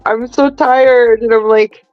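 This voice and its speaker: A woman in her forties co-hosting a casual chat, chatty and quick to laugh. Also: a little high, fairly even intonation, smooth, dry recording, lo-fi sound. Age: 40s. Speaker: co-host.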